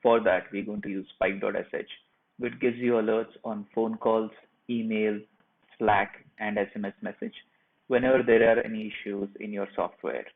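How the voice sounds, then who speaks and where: A man presenting a software tutorial, narrating each step of a screen share in a plain, instructional way. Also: lowish voice, steady tone, moderate pace, vocal fry. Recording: muffled phone-like narrow band.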